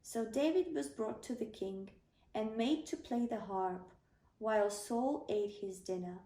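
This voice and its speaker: A woman's voice: on the high side, measured pace, mellow. A woman narrating a children's bedtime story, reading aloud as a storyteller. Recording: roomy, slightly distant microphone.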